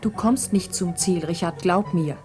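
An actress in a German radio drama playing a resigned, cryptic wife who has seen the future. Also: female voice, lowish voice, measured pace, over music, lightly acted.

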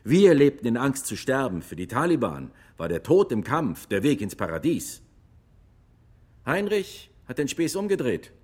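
An actor playing a retired, war-weary army officer who testifies gravely and emphatically in a courtroom radio drama. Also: male voice, medium pitch, big pitch swings, moderate pace, darkish tone. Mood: grave, measured.

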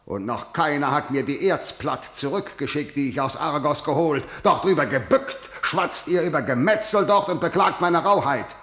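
A man's voice: fairly high pitch, normal speed, hardly any pauses, fairly bright tone, natural voice. An actor playing a harsh, domineering ruler who scorns his elders, commanding and scornful in classical verse. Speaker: king. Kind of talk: classical verse radio drama. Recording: roomy studio echo.

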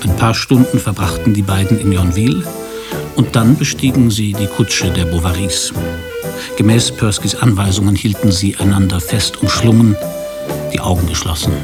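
Low-voiced male storyteller with dry delivery. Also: moderate pace, over loud music. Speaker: narrator. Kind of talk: scripted literary narration in a radio drama.

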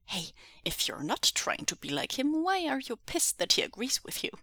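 A woman's voice: mid-range voice, animated, moderate pace, pushed and bright, no echo, studio quality. Woman voicing a cheerful, teasing captor. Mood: amused. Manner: cheerful, teasing, laughs often.

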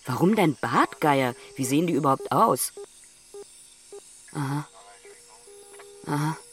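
A woman playing an exasperated grown daughter on the phone with her father in a radio play, impatient and pausing to listen. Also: low voice, lively intonation. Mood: bemused, reluctant. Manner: impatient, one-sided phone chat with pauses. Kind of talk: naturalistic radio play dialogue.

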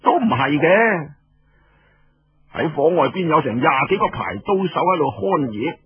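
A man's voice: fairly high pitch, lively intonation, a little slow, husky, no echo, muffled recording, heavily acted. A man voicing a paranoid, sleepless warlord and proud elder brother, jumpy and blustering, in audiobook storytelling. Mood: defensive bluster.

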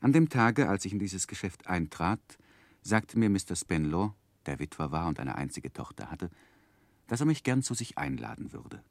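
An actor playing an earnest, romantic gentleman narrating his own youth in measured, literary for a 1960s radio drama. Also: sits low, very animated, gravelly, no room sound, full character voice. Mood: reflective, composed. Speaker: narrator and main character. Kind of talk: dramatic first-person narration, 1960s German radio play.

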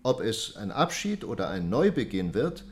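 An actor playing a formal, precise court official reading out and questioning in a radio drama. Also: male voice, fairly low pitch, big pitch swings, normal speed, a little hiss or hum.

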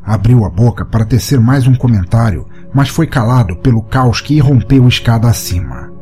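Male narrator for audio short fiction: medium pitch, dark soft tone, measured dramatic read, music bed. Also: expressive. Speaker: podcast narrator. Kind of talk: scripted short-story narration. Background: music under the voice.

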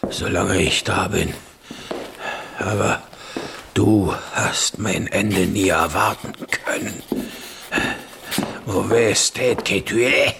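An actor playing a stern, bitter old dying king in a German radio play, halting and commanding. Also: fairly low pitch, noisy background. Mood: bitter, resigned. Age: older. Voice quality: gravelly, breathy, weakened voice. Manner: halting, laboured, commanding delivery.

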